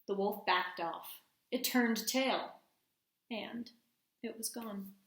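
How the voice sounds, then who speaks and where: A woman teacher reading a storybook aloud to children, expressively, pausing to put questions to them. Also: medium pitch, a bit bright. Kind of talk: storybook read-aloud with class discussion. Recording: echoey room.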